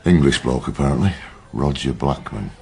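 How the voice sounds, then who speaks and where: An actor playing a blunt, sweary, gruff middle-aged detective in a naturalistic radio drama, with a gravelly voice. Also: male voice, a bass voice, lively intonation, moderate pace, darkish tone, exaggerated voice. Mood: blunt, businesslike.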